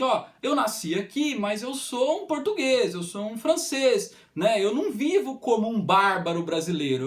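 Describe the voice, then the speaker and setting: A man with a Brazilian accent giving an informal history lecture, explaining didactically and often adding short check-in tags. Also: high voice. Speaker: history teacher.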